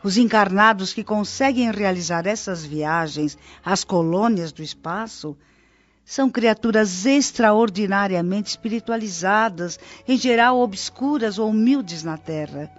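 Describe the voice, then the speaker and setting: A woman's voice: medium pitch, a little slow, lightly acted. Actress as knowing elderly female nurse, Brazilian accent, kindly and reassuring, radio drama, dull audio, background music. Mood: reverent, explaining. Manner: reassuring, explanatory. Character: kindly, knowing old nurse in the spirit world. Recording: muffled audio with music behind.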